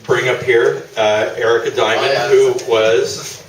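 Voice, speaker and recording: A man giving informal, off-the-cuff thanks as playwright at a live curtain call, halting as he goes. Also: mid-range voice, steady tone. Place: theatre stage before an audience. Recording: echoey theatre, distant mic, loud audience noise.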